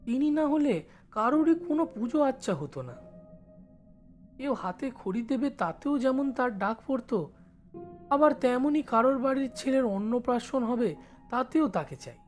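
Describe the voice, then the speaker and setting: A man playing a village schoolboy who studies in the city, telling his story in expressive first-person narration. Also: very high-pitched, normal speed, soft background music. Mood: reminiscing. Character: village schoolboy studying in Kolkata, recalling his life.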